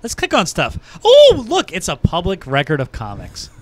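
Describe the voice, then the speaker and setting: A man's voice: sits high, wildly swooping pitch, moderate pace, background noise. A man hosting a casual podcast, chatting into a close mic in a small studio. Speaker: podcast host. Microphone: close podcast mic with headset.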